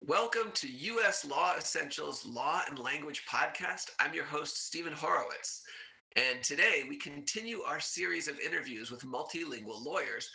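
A man's voice: on the high side, animated, normal speed, vocal fry, sharp tone, poor audio. A man hosting a legal English podcast, reading a scripted intro and then chatting casually with his guest in an upbeat, teacherly way. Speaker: podcast host.

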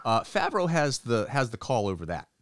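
A middle-aged man co-hosting a casual podcast, talking in an animated, opinionated way and acting out quotes in other voices. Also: medium pitch, moderate pace. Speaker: podcast co-host.

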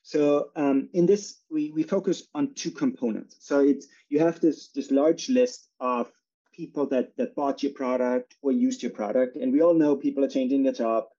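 A man from Austria, a company chief executive, explaining his business in a relaxed interview with frequent fillers. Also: medium pitch, normal speed, dull audio. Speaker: company CEO, guest. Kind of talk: casual interview talk.

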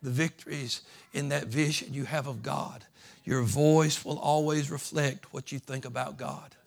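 A man preaching a sermon as pastor, folksy and repetitive, building from soft talk to loud fervent preaching. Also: medium pitch, moderate pace, vocal fry. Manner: folksy, repeats phrases.

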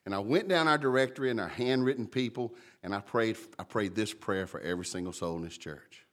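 Big-voiced pastor preaching. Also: male voice, fairly low pitch, brisk. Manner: lively, conversational preaching, calls out congregants by name. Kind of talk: sermon. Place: church.

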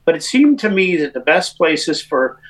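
A middle-aged Canadian man speaks as a musician guest on a podcast. His voice sits slightly high, and he tells his story in a chatty way, with many uh's and restarts. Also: big pitch swings, normal speed, fairly bright tone, poor audio. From Winnipeg, Canada. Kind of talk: casual interview.

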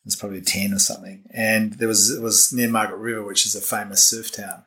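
A man with an Australian accent, a podcast guest in a living room, chatty and quick to laugh. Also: on the low side, little pitch movement, bright, forceful tone, recorded from a distance. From Western Australia. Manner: laughs easily, chatty storytelling. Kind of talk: casual interview. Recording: roomy and off-mic.

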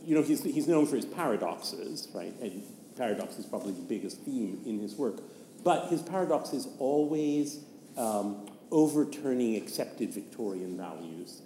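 A man giving informal scholarly commentary in a halting, wry way. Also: mid-range voice, lively intonation, dark tone. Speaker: panelist, literary commentator. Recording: reverberant hall, faint noise.

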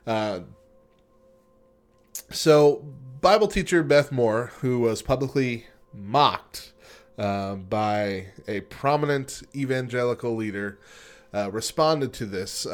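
A lower-pitched man co-hosting a talk radio show in a studio. He chats casually and reads news items, often stopping for fillers and restarts, and laughs easily.

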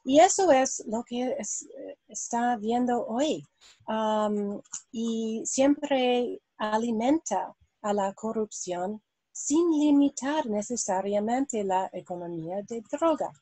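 A woman hosting an interview in Spanish, a language she is still learning, speaking slowly with many hesitations. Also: fairly high pitch, animated, dark tone, no echo, poor audio. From India. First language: not Spanish. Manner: slow, hesitant, many ums and ahs. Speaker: host. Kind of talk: interview, reading prepared questions then chatting.

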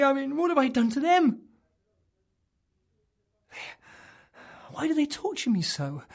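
A woman acting a deluded male clerk who believes he is king, in a dramatic monologue that swings between hushed whispers and loud outbursts. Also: low-pitched, slightly rough, exaggerated voice.

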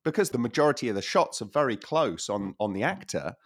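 A man co-hosting a casual podcast chat, animated and quick to laugh, with a voice that is wearing out. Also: medium pitch, breathy edge. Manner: animated, laughs often, repeats himself. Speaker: podcast co-host.

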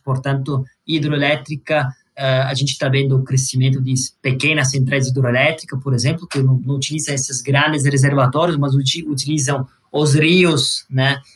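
Higher-pitched Italian man explaining in interview. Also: a bit bright. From Sicily, Italy. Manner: explanatory, frequent tag questions. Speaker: guest, corporate venture executive.

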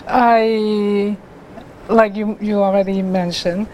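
A middle-aged woman, telling her life story as a guest artist in an interview, haltingly, with many restarts and pauses. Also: medium pitch, little pitch movement, dark tone, slight background noise, rough recording. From Hong Kong.

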